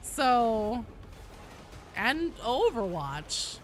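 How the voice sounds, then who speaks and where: A woman's voice: fairly high pitch, very animated, extremely slow. Sweary woman streamer over game noise. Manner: sweary, slangy, informal. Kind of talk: casual live stream chat with gameplay commentary.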